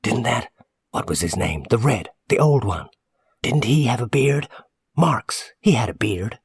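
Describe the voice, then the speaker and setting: A man voicing a nosy, suspicious small-town woman in a hushed, gossipy, folksy way for a story. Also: mid-range voice, animated, unhurried, breathy edge, no room sound, studio quality, pitched above the performer's own voice, heavily acted. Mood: suspicious, prying.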